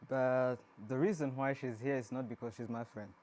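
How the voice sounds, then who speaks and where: A man's voice: medium pitch, fairly even intonation, normal speed, darkish tone, a little hiss or hum. A man from Rwanda hosting an interview, asking loose, rambling questions with frequent restarts. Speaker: interview host. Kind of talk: casual interview.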